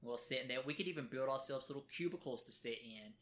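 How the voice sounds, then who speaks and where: Man, podcast co-host, bright voice, rambling, joking, home kitchen table recording. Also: mid-range voice, quick pace. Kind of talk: casual chat. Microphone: home podcast mic.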